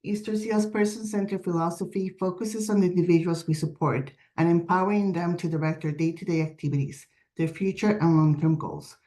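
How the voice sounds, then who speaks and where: A woman with a raspy voice presenting her organization's day services on a video call, earnest and steady, reading prepared points with some fillers. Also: lowish voice, a little fast, hardly any pauses, rough recording. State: raspy voice today. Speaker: director of day services presenting at a community forum.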